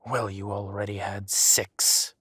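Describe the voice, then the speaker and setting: An actor playing a smug, teasing dragon mafia boss who speaks slowly and often drops to a whisper. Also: male voice, fairly low pitch, flat delivery, pushed and bright, breathy edge, no room sound, clean studio sound, heavily acted. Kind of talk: audio roleplay acting.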